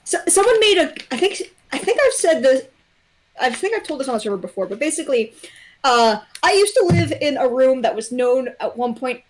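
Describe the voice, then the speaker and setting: A young adult woman with a fairly high voice. She chats casually on a livestream. Her way of talking is blunt and peppered with swearing. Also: big pitch swings, fairly quick, slight background noise. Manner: blunt, profane. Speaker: guest on a development livestream. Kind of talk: casual chat.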